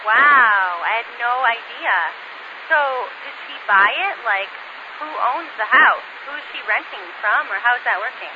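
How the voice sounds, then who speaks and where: A woman's voice: a little high, animated, measured pace. A woman chatting over a two-way radio, curious and upbeat, asking lots of questions. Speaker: radio caller. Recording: lo-fi radio link with static hiss.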